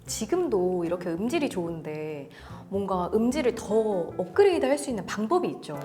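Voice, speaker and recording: A woman's voice: medium pitch, sing-song, moderate pace, mellow, faint music behind. A woman co-hosting an audio gear review, conversational, agreeing and prompting with questions.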